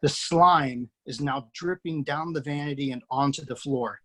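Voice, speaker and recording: Male reader of script action lines, husky, steady delivery. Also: fairly high pitch, moderate pace. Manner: steady, matter-of-fact. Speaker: screenplay reader. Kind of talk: reading screenplay action lines.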